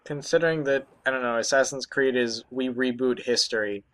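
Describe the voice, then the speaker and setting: A man doing casual, halting, profane gaming commentary alongside a co-host, in a joking way. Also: medium pitch, a bit bright. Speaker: Let's Play commentator.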